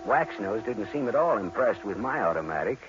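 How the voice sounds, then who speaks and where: An actor playing a deadpan, wisecracking private detective who narrates his case in old-time radio noir style. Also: on the low side, a little fast. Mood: wry narration. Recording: dull vintage radio recording with music.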